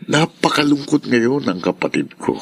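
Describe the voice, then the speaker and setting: An actor playing a gentle, worried sibling in a radio drama, earnest and hesitant. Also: male voice, mid-range voice, big pitch swings, normal speed, vocal fry, breathy edge, full character voice.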